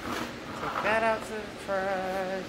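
A woman's voice: mid-range voice, level tone, very slow, heavy background noise. A woman in her thirties chatting casually to viewers while cleaning out her garage, upbeat and chatty, recorded on a handheld camera. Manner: upbeat, chatty, laughs often. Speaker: vlogger. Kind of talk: casual cleaning vlog chat. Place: cluttered home garage. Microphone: handheld camera, selfie framing at times.

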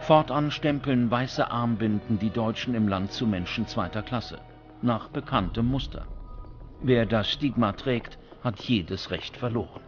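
Male documentary narrator, scripted delivery, medium pitch, music underneath. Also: measured pace, dull audio. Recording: music bed behind the voice.

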